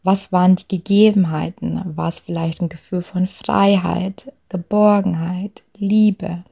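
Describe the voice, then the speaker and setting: A young woman from Lower Bavaria with a light Bavarian accent, chatty and full of fillers, giving a talk on authenticity at work. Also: steady tone, measured pace, creaky, husky. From Lower Bavaria, Germany. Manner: chatty, frequent fillers, soft laughs.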